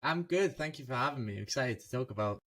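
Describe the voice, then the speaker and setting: Animated young male podcast guest. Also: medium pitch, fast, no echo. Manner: animated storyteller, laughs mid-sentence. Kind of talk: casual football podcast chat.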